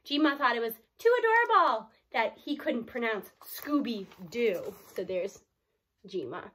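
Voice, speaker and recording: A woman reading a storybook aloud in an animated, emphatic way, with explanatory asides. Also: fairly high pitch, a little slow.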